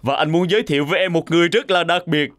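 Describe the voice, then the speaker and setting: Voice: medium pitch, very fast, background noise, lightly acted. A voice actor playing a famous male sports car star in a cartoon dub, who talks in a self-assured, big-brother way. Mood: confident. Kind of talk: cartoon dubbing.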